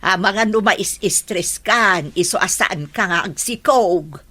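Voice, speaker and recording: A woman's voice: mid-range voice, takes it slowly, sharp tone. A woman playing a concerned mother advising her married daughter in a radio drama, motherly and emphatic, with an Ilocano accent. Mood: chiding, soothing. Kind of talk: Filipino radio drama acting.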